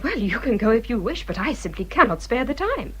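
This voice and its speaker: A woman's voice: on the high side, very animated, fairly quick, fairly bright tone, slightly rough, exaggerated voice. An actress playing a vain, haughty upper-class English lady in a period radio drama, imperious and affected. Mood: dismissive. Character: haughty, vain, self-absorbed Regency lady.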